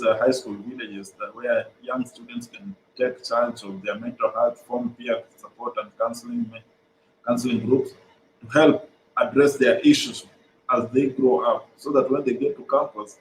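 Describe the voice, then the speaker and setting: A man's voice: mid-range voice, a little hiss or hum. Kenyan man on an echoey call. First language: Swahili. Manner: earnest, many "uh" pauses, repeats phrases. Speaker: guest, mental health organization co-founder. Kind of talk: explaining programs in an interview. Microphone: video call.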